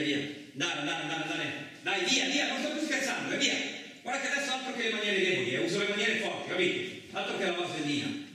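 A gruff truck driver ranting. His voice sits rather high, and he rambles and repeats himself in coarse, everyday speech. The sound is heavily echoing and somewhat far from the mic. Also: bright, forceful tone. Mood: threatening. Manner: colloquial, rambling, repetitive, coarse interjections. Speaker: stage and radio actor. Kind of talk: theatrical radio monologue. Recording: very echoey, distant, noisy room recording.

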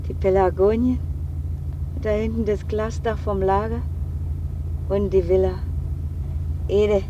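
An actress playing a sentimental but brisk Berlin businesswoman in a radio play, talking in short exclamations and questions. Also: mid-range voice, fairly even intonation, highs cut off, close to an everyday voice. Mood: wistful, moved. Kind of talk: naturalistic radio play acting. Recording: noisy outdoor street scene with car.

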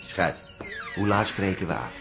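An actor playing a cheeky, smooth-talking middle-aged boyfriend, breezy and mock-polite, in a radio drama. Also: male voice, deep voice, animated, moderate pace, over music.

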